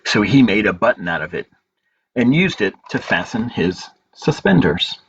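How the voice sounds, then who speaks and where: A man hosting a storytime as a librarian, reading picture books aloud in measured phrases with pauses and informal asides. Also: on the low side, very animated, fairly bright tone. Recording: dull lo-fi audio with clear background noise.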